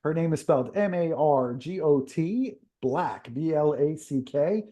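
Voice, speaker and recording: An upbeat man hosts a podcast interview, chatting casually and laughing easily. His voice sits a bit high but has a warm, mellow color. He showers his guest with enthusiastic praise. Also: animated, measured pace. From Israel. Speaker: podcast host.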